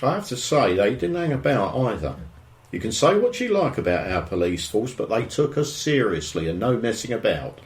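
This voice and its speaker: An actor playing a nosy, grumbling suburban husband with a morbid streak in an audio drama, speaking matter-of-factly with a British accent. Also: male voice, mid-range voice, big pitch swings, normal speed. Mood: opinionated. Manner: grumbling, opinionated, matter-of-fact. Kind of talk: audio drama dialogue, naturalistic.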